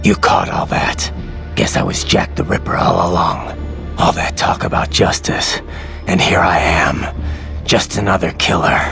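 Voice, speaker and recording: An actor playing a grim, weary, duty-bound cyborg soldier in a video game, with a gravelly voice and brooding, terse manner. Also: male voice, sits low, big pitch swings, unhurried, fairly bright tone, soft background music, heavily acted. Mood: bitter, brooding.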